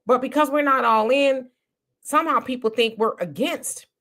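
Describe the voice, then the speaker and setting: Bright animated woman host. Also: high voice, moderate pace. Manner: animated, mocking voices when quoting others. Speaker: host reading viewer comments. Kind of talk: casual livestream commentary.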